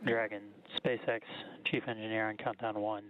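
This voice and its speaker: A man's voice: fairly low pitch, flat delivery, normal speed, crowd noise. Man on tinny radio loop. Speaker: launch chief engineer. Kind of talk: formal radio sign-off.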